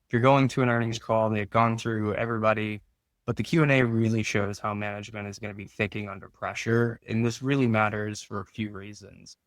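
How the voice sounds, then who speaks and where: A man's voice: fairly low pitch, steady tone, fairly quick, no echo. A man giving informal, explanatory answers as a finance expert in an interview.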